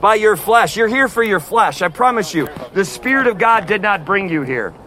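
A man preaching loudly on the street outside a concert, without an amplifier, calling out to passers-by as neighbors. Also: high-pitched, animated, normal speed. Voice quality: loud voice. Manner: forceful, repeatedly addressing "neighbors". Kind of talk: loud outdoor street preaching.

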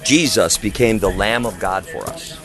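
A man's voice: on the low side, expressive, normal speed, faint music behind. A man with a big voice preaching on the street in an emphatic, declamatory manner full of rhetorical questions. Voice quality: big, loud voice. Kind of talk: impassioned street preaching and open-air discussion.

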